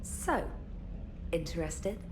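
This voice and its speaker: Witty, animated young comms officer. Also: female voice, lowish voice, takes it slowly, a little hiss or hum, low-quality recording. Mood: playful, wry. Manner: wry, articulate, teasing. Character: witty, playful young female military comms specialist. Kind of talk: video game dialogue acting.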